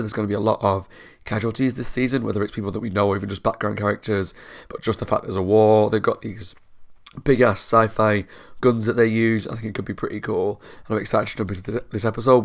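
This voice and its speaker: A man with a fairly low voice, congested from a cold. He talks informally and chattily, like the host of a reaction channel giving casual commentary on a show.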